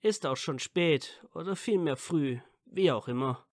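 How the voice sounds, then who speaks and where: A man plays a cheeky, tender teenage wizard boy in an audio drama. He speaks slowly and playfully, in a dry, close recording. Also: a little high, no echo, close to an everyday voice. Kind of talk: fan audio drama acting.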